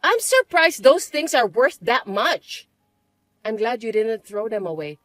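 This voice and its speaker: A woman voicing a spoiled young stepsister who taunts and bosses in a shrill, mocking way. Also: sing-song, a little slow, fairly bright tone, dry recording. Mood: taunting.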